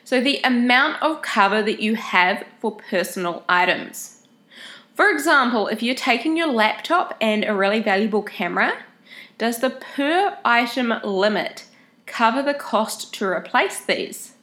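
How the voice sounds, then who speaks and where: A woman's voice: on the high side, expressive, a little slow, bright, forceful tone. A woman with a head cold and a nasal voice, giving casual, chatty travel advice as a vlogger, explaining things step by step. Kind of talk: casual advice talk to camera.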